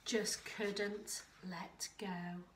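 Middle-aged female storyteller, teacherly storytime read with little asides, unhurried, in a roomy living room. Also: mid-range voice, level tone, background noise. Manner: deliberate, rhythmic reading with chatty asides. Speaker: teacher reading a picture book aloud. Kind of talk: expressive storytime reading for young children.

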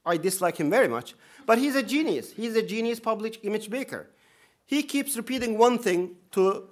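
A man in his thirties with a Turkish accent, an imam giving earnest, emphatic opening remarks with dry humor at a conference podium in a lecture hall. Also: high-pitched. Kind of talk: conference speech. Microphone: podium microphone.

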